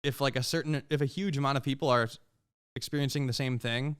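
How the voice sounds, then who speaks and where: A man talking casually as a guest on a stream, rambling in a relaxed, open-minded way. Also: medium pitch, fast talker, smooth. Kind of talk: casual chat.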